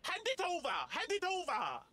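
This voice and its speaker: A woman's voice: very high-pitched, wildly swooping pitch, normal speed, bright, forceful tone, exaggerated voice. A woman voicing a greedy, easily enraged female monster bandit in a video game, taunting and melodramatic. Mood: eager, greedy.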